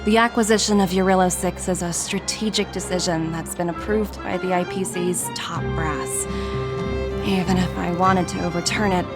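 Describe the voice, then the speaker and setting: A woman playing a poised, candid corporate executive in a video game cutscene, articulate, measured and businesslike. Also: on the low side, steady tone, lightly acted. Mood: businesslike, reluctant. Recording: music behind the voice.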